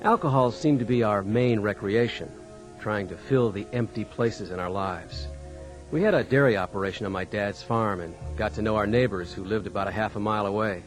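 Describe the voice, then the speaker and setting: A man's voice: on the low side, brisk, faint music behind, lightly acted. An actor playing an easygoing young American farmer and father in a radio drama, talking in a folksy, conversational way. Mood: reflective. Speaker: narrator and lead in radio dramatization. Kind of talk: scripted first-person radio drama narration and dialogue.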